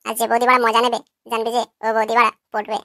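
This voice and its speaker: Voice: medium pitch, monotone, very fast, pushed and bright, no echo, clean studio sound. A performer voicing a crude, cocky young neighborhood prankster in a cartoon, always teasing. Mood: scheming.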